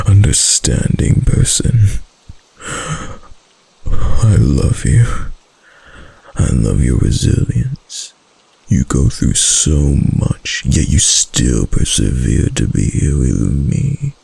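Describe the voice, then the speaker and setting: A man playing a doting, possessive kitsune husband in an intimate roleplay ASMR, with a soft, husky, lingering murmur. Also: full character voice. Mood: adoring. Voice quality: very deep, husky, gravelly.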